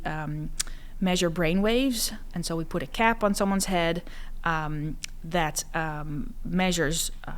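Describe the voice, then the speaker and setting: A woman from the Netherlands, a professor explaining her research conversationally as an interview guest. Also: on the low side. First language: Dutch. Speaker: psychology professor, podcast guest.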